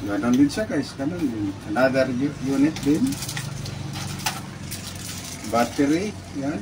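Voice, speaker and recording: Casual Filipino male vlogger, mid pitch, halting product-unboxing delivery, recorded at a distance with noticeable noise. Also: animated. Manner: halting, repeats words, addresses viewers often. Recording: distant mic, clearly noisy background with birds.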